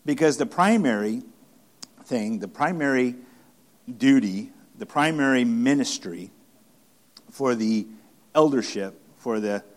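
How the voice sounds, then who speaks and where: A man preaching an expository sermon, speaking haltingly with many restarts, fillers and repeated phrases for emphasis. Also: medium pitch, expressive. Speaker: pastor.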